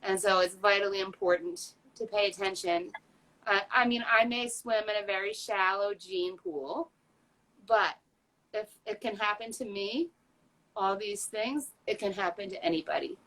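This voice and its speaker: A woman's voice: medium pitch, normal speed, fairly bright tone, low-quality recording. A woman in her fifties talking candidly as an interview guest, earnest and chatty, with frequent fillers and restarts. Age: 50s. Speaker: patient advocate guest.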